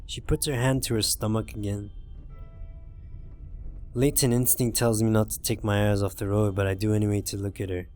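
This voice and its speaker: A man voicing a weary, frightened driver in a zombie visual novel, reading first-person lines in a subdued, halting, brooding way. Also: on the low side, fairly even intonation, a little mellow, lightly acted. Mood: grim, scared. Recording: music behind the voice.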